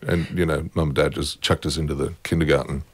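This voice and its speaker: Deep-voiced man, wry and chatty. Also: steady tone, quick pace, husky, no echo. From born in England, raised in Germany and Australia. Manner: wry, self-deprecating, chatty with laughs. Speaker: guest, opera music head. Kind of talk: relaxed conversational interview.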